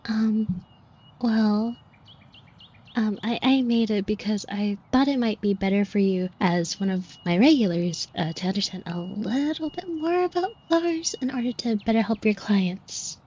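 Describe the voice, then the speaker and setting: A woman's voice: medium pitch, measured pace, warm tone. A woman playing a shy, flustered florist who talks to the listener in a hesitant, colloquial, self-deprecating way in an audio roleplay. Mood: nervous, rambling. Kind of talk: scripted roleplay acting addressed to the listener. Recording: dull muffled audio with clear noise. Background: shop ambience sound effects.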